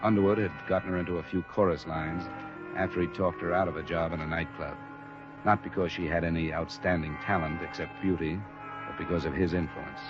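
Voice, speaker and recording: A man's voice: low-pitched, steady tone, a little fast, lightly acted. A man acting a tough but fair insurance investigator and narrator in a 1950s radio detective drama, terse and matter-of-fact with an American accent. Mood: reflective narration. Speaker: lead actor and narrator. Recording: dull restored old radio recording, music.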